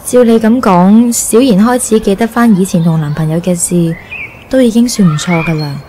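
A woman acting a kindly nun in a radio drama, giving measured, philosophical advice in Hong Kong Cantonese. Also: mid-range voice, warm tone, a little hiss or hum, natural voice. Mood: gentle. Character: calm, kindly nun counselling a grown orphan.

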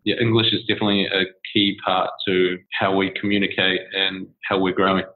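A man talks through his answers in a loose, roundabout way, doubling back on his words. His voice sits fairly low, and the sound is thin and narrow, like a call over the phone. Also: a little fast. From New Zealand. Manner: relaxed and discursive, with many restarts and fillers. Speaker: startup co-founder, guest. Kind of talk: casual interview answers. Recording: tinny phone-like line.

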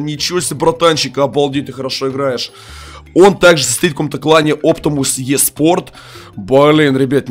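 A young man making a gaming video at home on a headset, hyped and full of amazed exclamations, sounding a little sick. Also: fairly high pitch. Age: young adult. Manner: hyped, exclaims in amazement, pushes likes and promo codes. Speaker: mobile game youtuber. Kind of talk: excited gaming video commentary. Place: small room at home, indoors.

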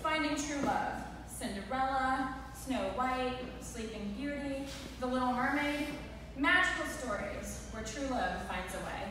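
Young woman, bridesmaid speech, high animated voice, distant echoey recording, noisy room. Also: a little slow. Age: young adult. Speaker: bridesmaid giving a wedding speech. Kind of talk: scripted rhyming storybook speech. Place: large wood-walled indoor reception venue. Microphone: mic on a stand, picked up by far camera.

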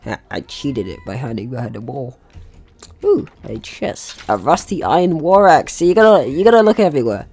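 A man's voice: a little high, very animated, moderate pace, vocal fry, darkish tone, husky. A man giving casual gameplay commentary, chatting through his own actions in short remarks. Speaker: gaming video host.

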